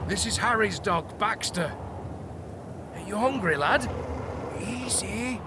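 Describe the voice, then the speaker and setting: Protective manservant, high-pitched, plain-spoken and deferential, period audio drama, heavy outdoor din behind him. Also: male voice, expressive, slow pace, background noise. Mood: wary, coaxing. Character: protective, plain-spoken male servant of a moorland house.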